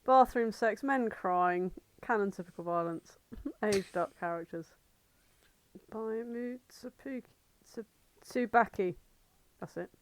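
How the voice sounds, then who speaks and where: A woman's voice: medium pitch, slow pace, pauses often, slight background noise, low-quality recording. A woman co-hosting a casual comedic podcast, chatting in an irreverent, sweary, bantering way. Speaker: podcast co-host.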